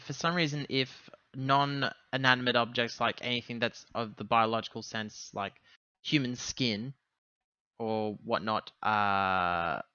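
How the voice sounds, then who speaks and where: A man talking casually as a player in a tabletop roleplaying game, with wordy, deliberate phrasing. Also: lowish voice, pushed and bright, dry recording, lo-fi sound.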